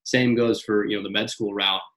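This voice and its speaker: Fast-restarting young man. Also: fairly low pitch. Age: young adult. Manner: energetic, repeats words and restarts. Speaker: podcast guest. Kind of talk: casual interview chat.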